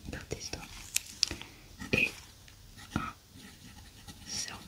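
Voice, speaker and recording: Whispering woman, ASMR roleplay. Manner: soft, hushed, unhurried narration of her own actions. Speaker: ASMR roleplayer acting as a medical examiner.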